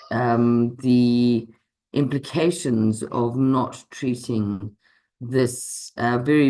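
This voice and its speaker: Hoarse woman giving a medical lecture. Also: extremely low pitch, a little mellow, low-quality recording. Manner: measured, explanatory, frequent ums. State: hoarse from a cold. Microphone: computer mic on a video call.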